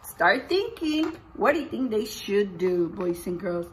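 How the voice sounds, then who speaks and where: A woman's voice: mid-range voice, very animated, a little slow, a little hiss or hum. A middle-aged woman teacher reading a picture book aloud, expressively, putting questions straight to young children. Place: indoors, small room with posters and books.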